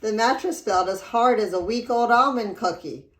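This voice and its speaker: A woman reading a children's storybook aloud in an expressive, deliberate storytelling manner. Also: fairly high pitch. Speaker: read-aloud narrator. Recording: roomy echo.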